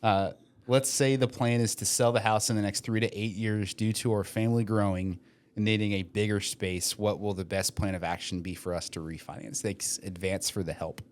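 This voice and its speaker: A man's voice: fairly low pitch, steady tone, moderate pace. A young man co-hosting a casual podcast, reading listener questions aloud and cracking jokes between hedged explanations. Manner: joking asides, frequent hedges and fillers. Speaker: podcast co-host, mortgage lender.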